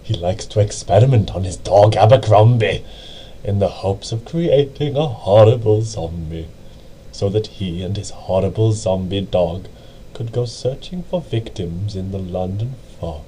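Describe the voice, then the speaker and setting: A man reading a poem as dramatic narration, with a theatrical, deliberate and brooding delivery. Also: fairly low pitch, warm tone, slight background noise, low-quality recording. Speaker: amateur reader.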